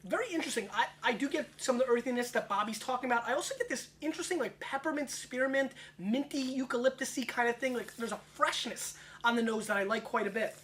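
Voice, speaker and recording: A man's voice: very high-pitched, fairly bright tone, a little hiss or hum. A man in his thirties hosting a wine tasting show, brash and joking as he chats. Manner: brash, rapid-fire, joking. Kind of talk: casual tasting chat.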